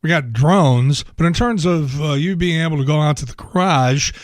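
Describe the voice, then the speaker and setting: Hoarse middle-aged host. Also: male voice, fairly high pitch, normal speed, no room sound, clean studio sound. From south side of Chicago. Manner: rambling, wry, chuckling asides. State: hoarse from laryngitis. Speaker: radio show host. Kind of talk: casual talk monologue.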